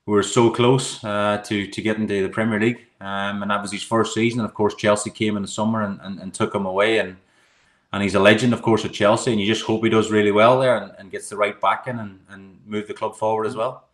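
A man's voice: lowish voice, level tone, fast talker. A middle-aged Irish man, a guest and goalkeeping coach, answering questions on a video call from a room at home. He talks casually and rambles, repeating words and saying "you know" often. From Ireland.

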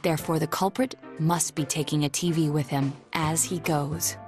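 Game role: young detective genius female actor, low register, cool-headed, formal, precise, logical; music bed. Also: steady tone, moderate pace, a little mellow, lightly acted. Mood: analytical. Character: calm, analytical young detective in a teenage group. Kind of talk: video game voice acting. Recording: music under the dialogue.

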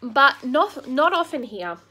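A woman voicing a gloomy, kindly male beaver in a storybook reading, speaking slow and grave. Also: sits high, big pitch swings, sharp tone, heavily acted. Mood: earnest.

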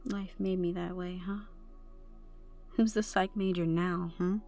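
A young woman playing a troubled tomboy goth college girl, shy and softly teasing, in an intimate ASMR roleplay with hesitant delivery and whispered asides. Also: on the low side, fairly even intonation, unhurried, soft background music. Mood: grateful, teasing.

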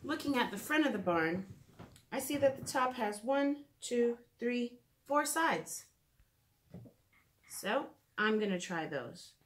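A woman in her thirties with a somewhat high voice speaks to small children. Her pace is very slow and her delivery is simple and clear, in the manner of a preschool teacher reading or showing how to draw. She is in a room at home, a short way from the camera. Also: expressive. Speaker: children's educator reading and drawing. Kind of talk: picture-book read-aloud and drawing lesson for preschoolers.

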